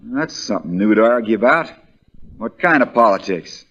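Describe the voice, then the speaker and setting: A man's voice: fairly low pitch, lively intonation, moderate pace, a bit bright, breathy edge, lo-fi sound, exaggerated voice. A man playing a plain-spoken, wry country lawyer with a folksy drawl, in the style of 1940s radio drama. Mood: dryly teasing. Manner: folksy, drawling, dryly teasing.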